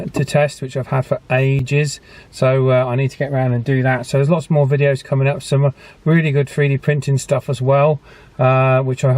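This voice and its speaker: A man's voice: mid-range voice, darkish tone. A man presenting a casual radio hobby vlog in a chatty, understated, slightly hesitant way. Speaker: host of a radio repair and testing channel.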